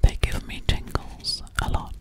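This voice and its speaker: A young woman whispering close to the microphone in an ASMR style, playing an alien girl and lingering repetitively on single words. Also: a little slow. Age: young adult. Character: alien girl hiding in a stranger's house. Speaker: ASMR performer. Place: small bedroom, indoors.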